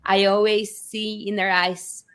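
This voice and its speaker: A woman from Argentina, telling the story of her book as an interview guest with animated, earnest warmth. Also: mid-range voice, unhurried. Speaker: author guest. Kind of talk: conversational interview.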